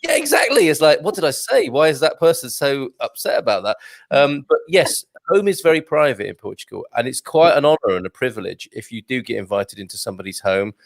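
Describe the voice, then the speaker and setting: A man's voice: mid-range voice, big pitch swings, brisk, no echo. Casting note: easygoing male host, mid voice, dry close mic. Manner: friendly, reads out viewer comments, laughs easily. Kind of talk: casual interview chat.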